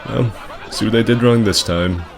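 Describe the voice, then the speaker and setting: A man with a fairly low voice hosts gaming commentary in a casual, comedic register. His delivery is crude and sarcastic, full of exaggeration. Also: normal speed, vocal fry, noisy background. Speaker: gaming video host.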